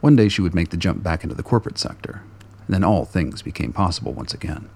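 Very deep, gravelly male narrator. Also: very animated, fast, darkish tone. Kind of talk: scripted narration of a radio drama.

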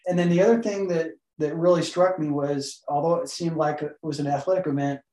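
A man with a fairly high voice speaks earnestly, stringing items into lists as he explains. He is recorded in a room with a noticeable echo. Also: steady tone, brisk, mellow. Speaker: guest, co-author. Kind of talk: podcast interview.

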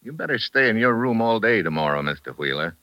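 An actor playing a wry, unflappable American foreign correspondent with an American accent in an old radio thriller. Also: male voice, fairly low pitch, sing-song, fast, no room sound, dull audio. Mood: cool, probing. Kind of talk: 1950s radio thriller acting.

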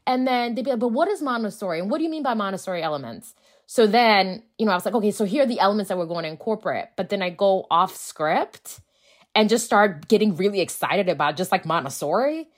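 A woman's voice: medium pitch, animated, quick pace. A woman, a native Akan speaker, talking casually in an interview as a school founder and acting out dialogue as she tells her story.

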